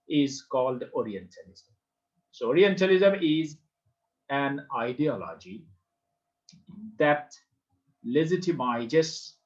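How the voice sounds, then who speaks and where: A man's voice: a little high, highs cut off. A man with a Bangladeshi English accent giving a university literature lecture, emphatic, repeating key phrases and checking that students understand. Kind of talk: classroom lecture.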